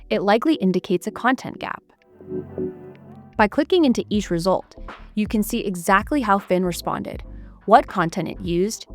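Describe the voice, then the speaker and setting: A woman reading a scripted product walkthrough voice-over in a clear, even, explanatory way. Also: mid-range voice. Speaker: product demo narrator.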